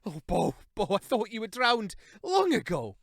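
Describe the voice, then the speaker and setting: A man voicing a grieving young sailor mouse who shouts high and strained. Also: big pitch swings, normal speed, no echo, studio quality. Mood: tearful.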